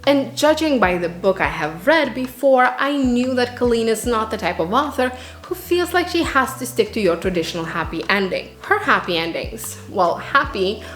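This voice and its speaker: Echoey woman reviewing books over music. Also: medium pitch. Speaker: book reviewer. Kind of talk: dramatic spoken book review. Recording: echoey room with music underneath. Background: background music.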